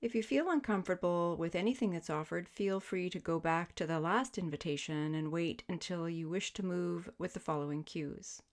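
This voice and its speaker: A woman guiding a sleep meditation as a yoga teacher, speaking in gentle, soothing, measured invitations. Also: fairly low pitch. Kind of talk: guided sleep meditation, spoken instruction.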